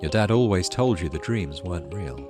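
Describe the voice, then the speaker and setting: A man's voice: low voice, expressive, brisk. A man hosting a gaming video, reading game text aloud like a storybook and adding casual asides. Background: music.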